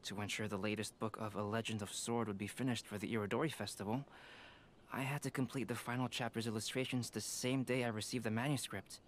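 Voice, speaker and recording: A man playing a calm, polite young painter in a video game, speaking in a composed, measured way. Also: on the low side, a little hiss or hum, lightly acted. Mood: composed, matter-of-fact. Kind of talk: video game cutscene dialogue.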